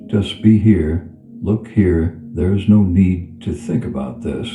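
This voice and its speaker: Male meditation guide, very low register, slow soothing delivery with long pauses, roomy reverb. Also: phone-quality sound. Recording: reverberant voice over clear background music. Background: background music.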